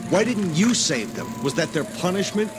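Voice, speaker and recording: An actor in an audio drama playing an idealistic, defensive researcher on the run. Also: male voice, sits high, animated, moderate pace. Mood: shaken. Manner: earnest, defensive. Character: defensive, idealistic fugitive male lab researcher. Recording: music under the voice.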